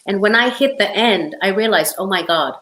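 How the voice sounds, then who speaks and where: A woman's voice: medium pitch, normal speed, a bit bright. A middle-aged woman from Hong Kong, an author talking as an interview guest in an emphatic, conversational storytelling way. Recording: roomy, echoey sound.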